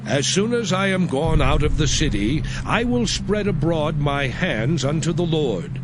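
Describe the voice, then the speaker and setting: Moses, stern, slow, sweeping prophet. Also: male voice, fairly high pitch, sing-song, poor audio. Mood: firm. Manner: declamatory, stern, deliberate. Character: Moses, stern male prophet delivering warnings. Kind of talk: dramatized scripture reading. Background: music.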